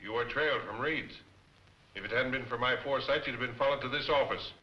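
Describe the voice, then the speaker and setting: An actor playing a cold, domineering racketeer who hands out orders, in 1940s film serial style. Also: male voice, medium pitch, quick pace, bright, forceful tone, lightly acted, low-quality recording. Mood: cold, commanding.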